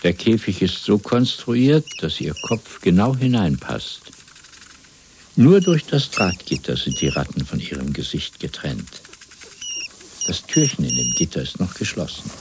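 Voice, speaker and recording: A man playing a cold, methodical totalitarian interrogator in a 1970s radio play, speaking in a measured, clinical, menacing way. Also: low voice, big pitch swings, a little mellow, a little hiss or hum, full character voice. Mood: clinical threat.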